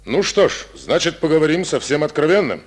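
Brief: a seasoned radio-play general, husky and ironic, pitch rising and falling widely. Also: male voice, very animated, fairly quick. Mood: probing. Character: stern, sharp-witted male Soviet army commander. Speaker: army commander, a general.

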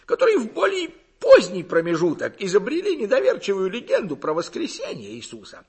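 A man's voice: very high-pitched, vocal fry, fairly bright tone, husky, pitched above the performer's own voice, heavily acted. A man voicing a pompous, ignorant village lecturer in an audiobook, with a gurgling, throaty voice and affected, self-assured oratory. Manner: affected, gushing, self-assured oratory in clumsy learned words. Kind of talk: audiobook character acting.

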